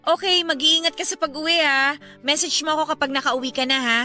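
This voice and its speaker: An actress voices a caring young Filipina best friend in a radio love drama. She has a highish, bright voice and a chatty, concerned manner. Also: steady tone, fairly quick, faint music behind. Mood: cheerful, grateful. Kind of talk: radio drama dialogue acting.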